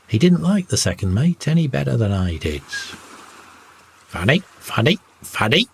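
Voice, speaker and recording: A man's voice: mid-range voice, big pitch swings, moderate pace, gravelly, pitched above the performer's own voice. A man plays an insistent, mischievous parrot from a sailor's storybook. It jeers and repeats itself in a raised, swooping voice, with faint noise behind it. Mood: jeering. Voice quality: grunting, screeching. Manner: repetitive, jeering squawks. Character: mischievous talking green parrot, jeering and insistent.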